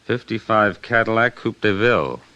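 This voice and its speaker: An actor playing a steady, clipped, matter-of-fact police sergeant in a 1950s radio drama. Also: male voice, fairly low pitch, normal speed, highs cut off. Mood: low-key.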